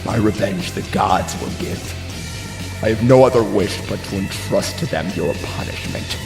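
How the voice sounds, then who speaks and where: A man's voice: on the low side, moderate pace, creaky. A man playing an anguished, grieving father in a classical verse tragedy, declaiming solemnly and with passion. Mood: bitter grief. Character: anguished, grieving father, betrayed brother of a king. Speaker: stage actor. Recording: long reverb, music underneath.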